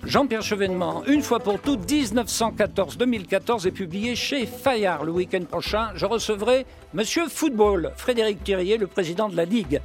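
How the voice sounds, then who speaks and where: A man hosting a radio interview, asking brisk, direct questions. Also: sits high, animated, over music. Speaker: radio host.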